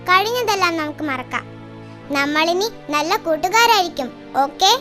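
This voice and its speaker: Children's cartoon: small cheeky mouse, very high childlike squeak, crafty but friendly, music bed underneath. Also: animated, normal speed, bright, forceful tone, heavily acted. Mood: friendly, scheming. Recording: music behind the voice.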